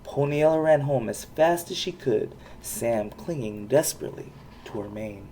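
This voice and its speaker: A parent reading a children's storybook aloud with expressive narration. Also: mid-range voice, normal speed, a little hiss or hum, poor audio.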